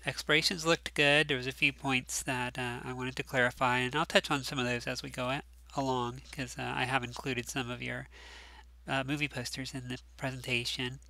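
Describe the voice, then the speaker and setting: A man's voice: medium pitch, flat delivery, a little fast, creaky, bright, forceful tone, no echo, rough recording. A man teaching a recorded class lecture, sounding nasal and stuffed up from allergies, talking casually with lots of ums and restarts. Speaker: course instructor.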